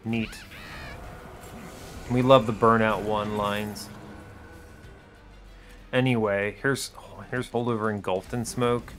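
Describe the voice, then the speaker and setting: A man's voice: lowish voice, fairly even intonation, slow, pauses often, a bit bright, soft background music. A man hosting a gaming video, thinking aloud in casual, chatty commentary with breezy, wry understatement. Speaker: host of an educational gaming video series.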